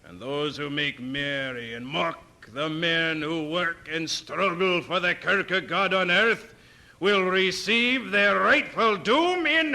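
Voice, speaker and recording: An actor playing a stern, zealous Scottish Protestant preacher in an audio drama, declaiming a sermon in archaic, emphatic language. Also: male voice, a little high, pushed and bright, exaggerated voice. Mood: stern condemning.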